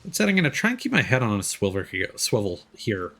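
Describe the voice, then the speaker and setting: A man's voice: on the low side, huge pitch swings, fast, bright, forceful tone. A man chatting casually as he commentates on a game for a livestream. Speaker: streamer.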